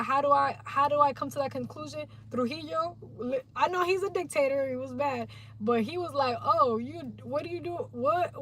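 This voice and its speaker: A young woman livestreaming, chatting casually and rambling in a slangy, animated way to her viewers. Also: high voice, a little hiss or hum.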